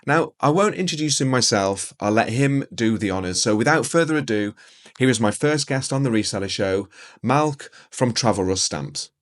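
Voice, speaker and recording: A man's voice: mid-range voice, expressive, moderate pace. A man in his forties hosting a podcast, upbeat and welcoming as he talks with his guest. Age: 40s. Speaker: podcast host. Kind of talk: podcast interview.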